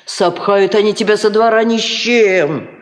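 A woman's voice: mid-range voice, fairly even intonation, normal speed, lightly acted. A woman acting a sly, coaxing old peasant schemer in a Soviet radio play, with folksy wheedling speech. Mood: sly, insinuating. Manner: sing-song folksy wheedling. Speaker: radio drama actress. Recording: roomy 1950s radio recording.

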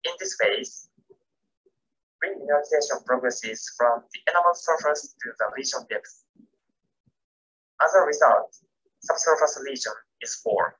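Japanese guy reading a science talk slowly and carefully, bright husky voice, muffled online audio. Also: mid-range voice. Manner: careful, measured reading with non-native grammar. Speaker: keynote speaker. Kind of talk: scripted academic lecture.

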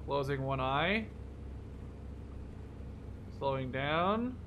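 A young man giving casual, excitable gameplay commentary, swearing freely as he reacts out loud to the game. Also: medium pitch, very slow, a little hiss or hum. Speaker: gaming video host. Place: small room at home, indoors.

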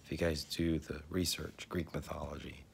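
A man in his thirties who is losing his voice, talking slowly at home into a phone at arm's length, often dropping to a husky whisper and clearing his throat. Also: rumbling low, flat delivery, a little hiss or hum. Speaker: vlogger sharing religious theories. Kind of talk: casual home video monologue. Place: living room at home, indoors. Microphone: phone camera at arm's length.

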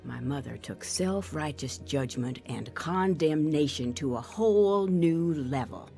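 An actress playing a grown woman narrating her painful childhood, measured and reflective, as scripted testimony. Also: sits low, expressive, vocal fry, mellow, faint music behind, heavily acted. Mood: somber.

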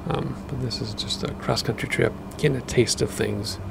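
A man, rambling casually through gameplay commentary with lots of fillers, his voice a bit hoarse from losing it. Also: mid-range voice, normal speed, noisy background. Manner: rambling, chatty, with many fillers. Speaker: flight sim gaming host.

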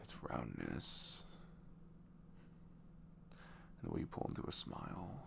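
A man playing a sweet, earnest single dad in an intimate ASMR roleplay, soft and hesitant, often dropping to a whisper. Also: a bass voice, huge pitch swings, a little fast, gravelly, full character voice. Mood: shy, flirtatious. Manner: hesitant, gentle, murmured.

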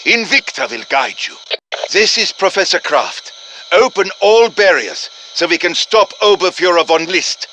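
An actor playing an urgent, commanding professor who briefs soldiers in a deliberate, dramatic manner, as video game voice lines. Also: male voice, fairly high pitch, sharp tone, a little hiss or hum, heavily acted, rough recording. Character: urgent, commanding male professor guiding soldiers against demons. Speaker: game character voice, mission guide.

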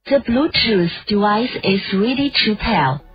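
A woman announces device modes in short scripted prompts. She speaks slowly, and the sound is dull and lo-fi, like a small gadget speaker, with music clearly behind her. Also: medium pitch, expressive.

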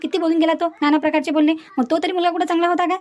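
A woman's voice: very high voice, little pitch movement, very fast. A woman voicing an old, sensible grandmother in a cartoon family melodrama, folksy and persuasive, with a Vidarbha Marathi accent. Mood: chiding persuasion.

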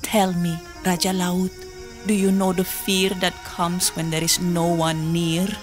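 An actress playing a proud, desperate young native woman in a full-cast audio drama, speaking in slow, poetic, impassioned pleas. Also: fairly low pitch, little pitch movement, music in the background, lightly acted. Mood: bitter.

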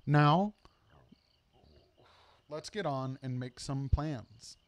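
Man playing an old male wizard, storybook character, wise, measured, matter-of-fact, dry close recording. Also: a little high, no echo.

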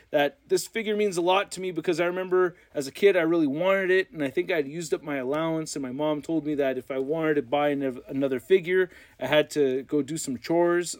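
An American man doing casual, unscripted product commentary as a channel host, chatty, excitable and slangy. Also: a little high, no echo. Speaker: toy review channel host.

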